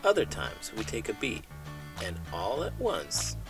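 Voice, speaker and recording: A man reading a children's storybook aloud with animated, dramatic emphasis, in the manner of an elementary school teacher. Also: lowish voice, unhurried, music in the background. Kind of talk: expressive storybook read-aloud with puppet banter.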